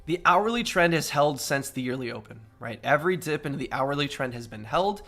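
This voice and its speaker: Guy on a trading stream, bit of gravel in his voice, slightly nasal from a cold, keeps saying right?. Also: a little high, animated, brisk, sharp tone. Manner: explanatory, often adds "right?". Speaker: crypto trading livestream host. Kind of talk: casual chart analysis with chat.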